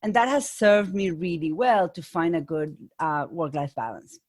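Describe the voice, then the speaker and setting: A woman's voice: on the low side, moderate pace. A middle-aged woman with an Argentine accent, a business coach speaking as an interview guest, thoughtful and often restarting her sentences. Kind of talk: conversational interview.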